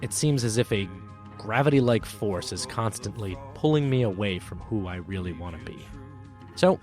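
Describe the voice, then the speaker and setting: A man's voice: on the low side, expressive, normal speed, a little mellow. A man hosting a storytelling podcast, speaking in an earnest, reflective manner. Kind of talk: storybook narration and personal host talk. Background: music under the voice.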